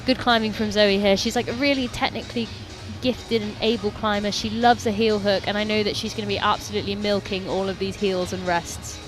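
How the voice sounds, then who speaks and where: A woman's voice: mid-range voice, steady tone, a little fast, hardly any pauses, music in the background. A woman co-commentating live on a climbing competition, upbeat and analytical, with encouraging words about the athletes' technique.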